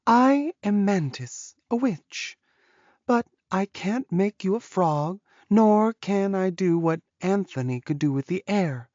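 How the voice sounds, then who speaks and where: A man's voice: high-pitched, expressive, slow, a little mellow, no room sound, muffled recording, voice pushed up. A man voicing a friendly, proud female witch who teases and boasts, as a character in a fantasy audiobook. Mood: proud, playful. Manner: teasing, boastful. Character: friendly, proud, giggly female witch, sly potion maker.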